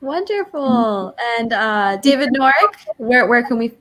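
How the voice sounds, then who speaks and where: A woman's voice: a little high, big pitch swings, unhurried, a bit bright. A woman hosting a casual livestream author panel on a video call, speaking in an effusive, gushing way. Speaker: host of an online author panel.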